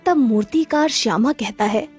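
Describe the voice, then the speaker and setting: A woman's voice: a little high, moderate pace, a little mellow, faint music behind. A woman narrator reading a scripted children's story.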